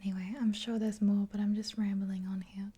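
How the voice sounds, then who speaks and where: A woman in her twenties whispering softly and gently in a rambling, casual ASMR roleplay, close to the mic. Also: mid-range voice, flat delivery, a little fast. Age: 20s. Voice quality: breathy whisper. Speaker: ASMR roleplayer as makeup artist.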